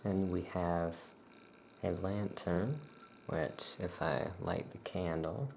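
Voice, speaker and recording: Very slow, low, creaky soft-spoken ASMR voice. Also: a little hiss or hum. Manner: unhurried, explanatory, soft-spoken. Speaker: ASMR performer as witchcraft shopkeeper.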